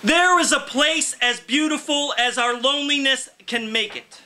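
A man in his thirties giving a loud, emphatic poetry reading, pushing out phrases in a declamatory shout at a live indoor reading. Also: extremely high pitch, moderate pace. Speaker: poet, featured reader.

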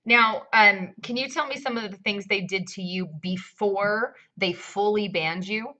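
Bright-voiced woman host. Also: medium pitch. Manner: leading questions, brisk. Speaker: show host, interviewer. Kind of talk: online news interview.

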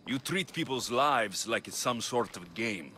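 An actor playing a blunt, skeptical Greek mercenary in video game dialogue, with a dry, challenging manner. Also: male voice, medium pitch, lively intonation, unhurried, pushed and bright, slight background noise.

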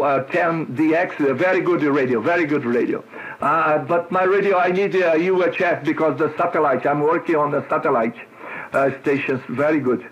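A Brazilian man, a native Portuguese speaker, chatting as a radio operator in a halting, repetitive way. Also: a little high, lo-fi sound. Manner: halting, repetitive foreign-language speech. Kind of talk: casual radio contact chat with callsign spelling. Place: cluttered home radio desk indoors.

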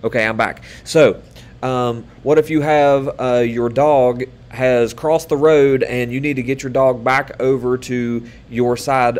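A man in his thirties giving an online lesson to children, speaking patiently and upbeat, with many fillers and pauses, recorded on a webcam in a small room. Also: medium pitch, a little mellow. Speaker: teacher.

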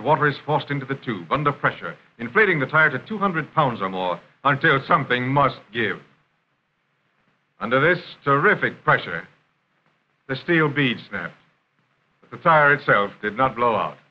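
An American man reading scripted narration for a 1930s industrial film in a formal, measured announcer style. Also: mid-range voice, lively intonation. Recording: dull, noisy 1930s film soundtrack.

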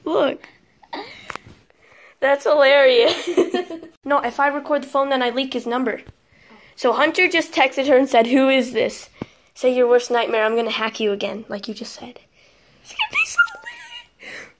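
A teenage girl chatting casually and excitedly with friends as she films prank calls in a bedroom. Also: high-pitched, moderate pace, long pauses. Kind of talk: casual chat among friends. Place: small bedroom, indoors. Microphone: handheld phone camera.

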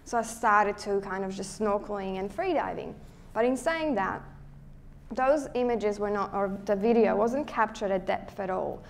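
Young woman, Slovak accent, mid-pitched voice, friendly lecture, restarts as she thinks, hall. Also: moderate pace, vocal fry. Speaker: guest speaker, underwater photographer. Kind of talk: presentation to a live audience. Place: lecture hall at a photo show.

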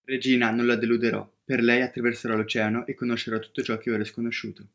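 A man's voice: mid-range voice, level tone, quick pace, a bit bright, natural voice. A man playing a brave, determined young ship's captain in a children's cartoon. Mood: devoted, determined. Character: young bold navigator, brave, determined, dreamy male captain.

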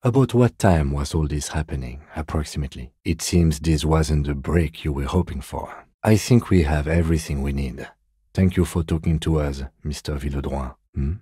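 An actor playing a composed, dry-witted police lieutenant in a video game, measured and formal, with a husky voice. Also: male voice, rumbling low, mellow, no echo, exaggerated voice. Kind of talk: scripted game dialogue.

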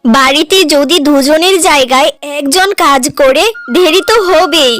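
A woman's voice: high-pitched, unhurried, fairly bright tone, dry recording, faint music behind. A woman voicing a dutiful, patient elder sister-in-law in a cartoon, speaking earnestly. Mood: reproachful. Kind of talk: cartoon drama acting.

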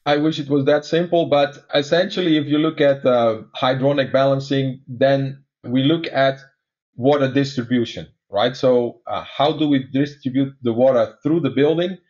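A man with a Dutch accent, a guest expert explaining technical systems in conversation, pausing often with fillers. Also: mid-range voice, darkish tone, lo-fi sound. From Netherlands. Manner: many "uh" fillers, measured explaining.

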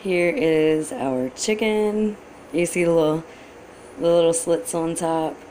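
A young woman talks in a friendly, instructive way as she cooks, her voice sitting on the lower side. She is picked up by a camera on the counter in a home kitchen, with a faint hum of room noise behind her. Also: a little mellow. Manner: chatty, friendly, instructive with small restarts. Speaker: cooking vlogger. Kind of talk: casual cook-along talk to camera. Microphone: camera on counter a few feet away.

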